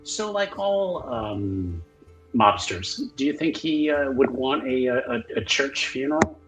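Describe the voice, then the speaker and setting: Man, animated intonation, halting, jokey, casual roleplay narration, clear background music. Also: fairly high pitch, low-quality recording. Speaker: tabletop RPG player. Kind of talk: casual description of own character's actions.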